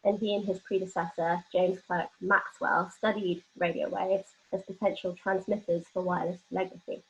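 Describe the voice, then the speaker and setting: A woman with a fairly low voice leads a workshop, explaining things in an informal way. She talks through slides and uses everyday examples. The recording is somewhat dull and lo-fi. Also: little pitch movement, normal speed. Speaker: workshop leader, presenter. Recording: dull, lo-fi band-limited audio.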